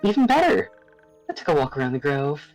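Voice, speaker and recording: Woman voicing a chirpy elf with swooping, low voice. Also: sing-song, a little fast, a little mellow, faint music behind. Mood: chirpy, eager. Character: cheerful, bored elf woman living a quiet grove life.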